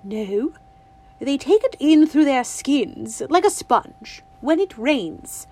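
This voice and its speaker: A woman voicing a stern, fussy old grandmother who speaks primly and slowly in an audiobook. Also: sits high, sing-song, a little mellow, pitched above the performer's own voice, full character voice, poor audio. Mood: lecturing. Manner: prim, scolding, drawn-out words. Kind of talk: audiobook reading.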